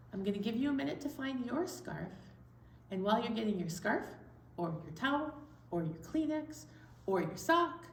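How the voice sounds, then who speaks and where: A woman hosting story time, talking playfully and directly to young children and asking them questions as she leads an activity. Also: high voice. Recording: roomy echo, distant from mic.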